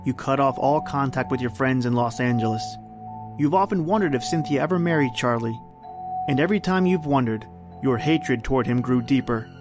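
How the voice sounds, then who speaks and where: A man's voice: medium pitch, a little fast, music in the background, lightly acted. A man playing an ominous, all-knowing narrator who sardonically needles the hero in old-time radio suspense style. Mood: ominous, taunting.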